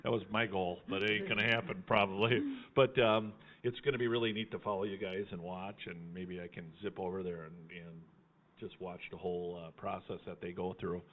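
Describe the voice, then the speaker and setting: A man's voice: low-pitched, fairly even intonation, a little fast, slight background noise. A man chatting casually as host of a live fundraiser, in a folksy, teasing way. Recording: thin phone-like sound.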